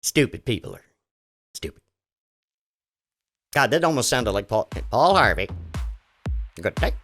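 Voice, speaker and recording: Middle-aged guy doing his solo podcast, sarcastic and rambly, pitch jumps all over the place. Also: big pitch swings, a little slow, fairly bright tone, faint music behind. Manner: rambling, sarcastic, many restarts and fillers. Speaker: podcast host. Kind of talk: casual solo podcast monologue.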